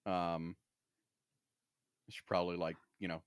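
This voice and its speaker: Low-voiced man in casual podcast chat. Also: flat delivery, measured pace, smooth, dry recording, studio quality. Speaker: podcast co-host. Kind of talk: casual chat, book discussion.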